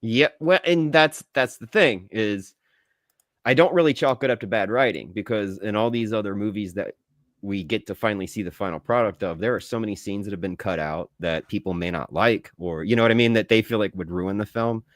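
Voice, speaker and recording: A man's voice: lowish voice, brisk, no room sound, studio quality. A man talking casually as a podcast co-host, slangy and emphatic, with some swearing. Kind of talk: casual movie review chat.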